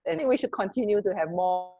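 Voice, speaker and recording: A woman's voice: medium pitch, animated, fast talker, dark tone. A woman co-hosting a radio show in a casual, informal chat style, with slightly muffled audio. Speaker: radio co-host.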